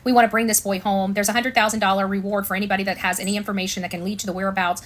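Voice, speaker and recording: An American woman with a medium-pitched voice hosts an informal commentary stream. She is blunt and opinionated, leaning on sarcasm and repeating her phrases to drive them home. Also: flat delivery, rapid-fire. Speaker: online commentator and show host.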